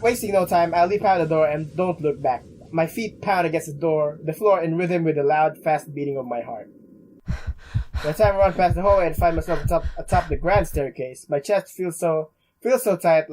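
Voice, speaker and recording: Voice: medium pitch, darkish tone, a little hiss or hum, rough recording. A let's player reading a visual novel aloud in a dramatic, stumbling way and reacting along the way. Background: game music.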